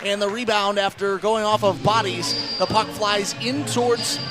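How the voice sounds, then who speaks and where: High-voiced man calling hockey play-by-play. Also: normal speed, music in the background. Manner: excitable, raises voice to a shout on chances and goals. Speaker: play-by-play announcer. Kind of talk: live ice hockey radio commentary. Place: ice hockey rink.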